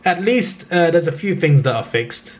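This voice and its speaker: A young Australian man, his voice going hoarse, casually reading through simulated footy results on his gaming channel and laughing at the odd scores. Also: fairly high pitch, animated, normal speed. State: losing his voice. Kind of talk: casual game-results recap.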